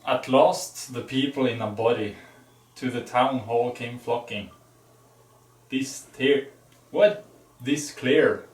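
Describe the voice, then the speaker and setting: Male amateur reader, slow and stumbling through old verse in a roomy, slightly distant recording. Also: medium pitch. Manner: halting, checking unfamiliar words. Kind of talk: reading old verse aloud. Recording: echoey, distant microphone.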